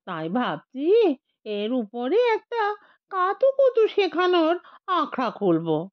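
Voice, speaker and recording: A woman's voice: high-pitched, wildly swooping pitch, slow, no room sound, highs cut off, pitched above the performer's own voice, exaggerated voice. A woman voicing a cheeky, stubborn male ghost with a brazen, cajoling manner in a comic audio story.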